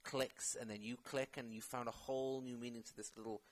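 A man's voice: mid-range voice, level tone, fairly quick. A man talking casually as an actor guest in an interview, rambling with many fillers and restarts.

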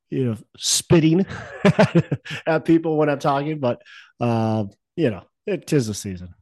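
A man's voice: mid-range voice, a little mellow, no room sound. A man hosting a podcast in casual chat, with a stuffy nose and a cough, rambling with many fillers and restarts. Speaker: podcast host.

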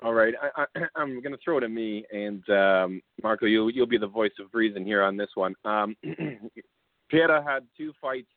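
A man's voice: on the low side, moderate pace, dry recording. A male host running casual fight picks, laid-back and chuckling, with lots of fillers. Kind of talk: casual fight-pick chat. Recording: dry tinny phone-line audio.

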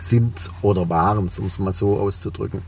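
A man's voice: on the low side, moderate pace, creaky, a little mellow, far from the mic, slight background noise. A man in his forties with a Swabian German accent, a business owner talking reflectively as an interview guest, with many fillers. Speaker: guest, cleaning company owner. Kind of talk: podcast interview.

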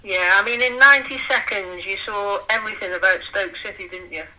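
Bright-voiced woman in a lively podcast chat. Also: mid-range voice, fairly quick, a little hiss or hum, poor audio. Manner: lively and articulate, with dry humour. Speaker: podcast co-host. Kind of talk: casual fan podcast chat.

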